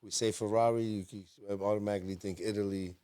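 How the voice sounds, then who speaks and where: Low, mellow-voiced man on a podcast. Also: quick pace. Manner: emphatic, gets animated when making a point. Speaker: podcast co-host. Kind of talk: casual podcast chat. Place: podcast studio.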